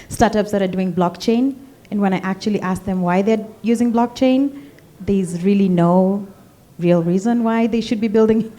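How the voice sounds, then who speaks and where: A woman's voice: mid-range voice, mellow. A woman speaking on a panel, direct, with light laughs as she talks. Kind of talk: panel discussion.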